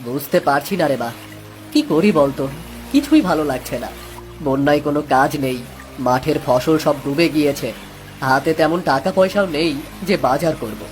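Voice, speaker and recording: A man's voice: fairly high pitch, animated, moderate pace, faint music behind, close to an everyday voice. A man playing a patient, pious village father and poor farmer in a cartoon, with a homely manner. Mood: despairing.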